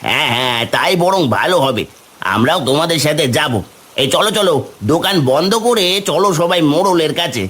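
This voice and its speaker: A man's voice: on the high side, animated, a little fast, fairly bright tone. A man playing an outspoken village shopkeeper in a cartoon, insistent. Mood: pleading, insistent. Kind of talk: Bengali cartoon voice acting.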